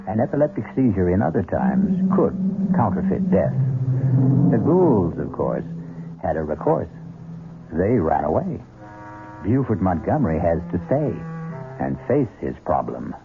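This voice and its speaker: A man hosting and narrating a vintage radio mystery from a script in a measured, ominous, wry manner. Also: sits low. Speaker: mystery show host and narrator. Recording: dull vintage radio, loud music behind.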